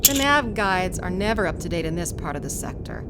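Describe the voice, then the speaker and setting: A woman acting a pragmatic, brisk ship owner who is in charge, in an audio drama. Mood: dismissive. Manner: brisk, no-nonsense. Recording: steady engine rumble behind the voice.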